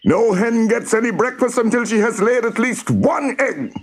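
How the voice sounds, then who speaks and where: A man voicing a loud, bullying cockerel who barks orders like a drill sergeant in a children's storybook. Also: extremely high pitch, animated, normal speed, voice pushed up. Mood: barking orders. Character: bossy bullying military cockerel, loud and crowing.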